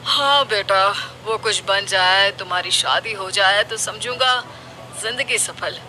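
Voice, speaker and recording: A woman voicing a sober, practical middle-aged father in a moral story cartoon, measured and admonishing. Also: mid-range voice, bright, forceful tone, slight background noise, poor audio. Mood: concerned. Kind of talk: animated moral story dialogue.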